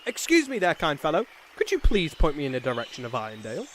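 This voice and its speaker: A man's voice: fairly high pitch, huge pitch swings, fast talker, fairly bright tone, slight background noise. A man playing a pompous, stubborn noble knight in a comedy fantasy sketch, haughty and indignant. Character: pompous, blustering noble knight, proud and obstinate.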